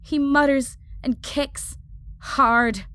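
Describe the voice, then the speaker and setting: Young hot-headed battle mage, acted by a woman: high voice, slow dramatic first-person narration, intense and desperate, clipped phrases. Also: steady tone, a bit bright, full character voice. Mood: pained, struggling.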